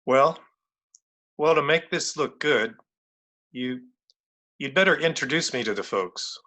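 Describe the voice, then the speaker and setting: A man playing a gruff, exasperated New York police lieutenant in an old-time radio drama, wry and incredulous. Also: mid-range voice, a little slow, pushed and bright.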